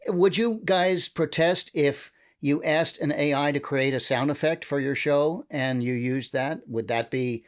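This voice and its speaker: A man moderating a casual panel discussion, speaking haltingly with frequent pauses. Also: on the high side.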